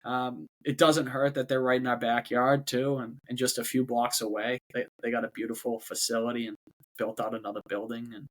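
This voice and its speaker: A man's voice: mid-range voice, level tone, fairly quick, poor audio. A man with a Boston accent, a company head talking as a guest in a casual interview, often repeating words before going on. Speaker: robotics company CEO, guest.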